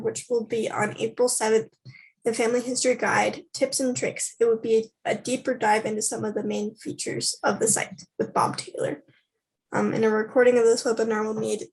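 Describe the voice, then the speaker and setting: A woman moderating a webinar, giving closing announcements in an even manner. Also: on the high side, husky, rough recording.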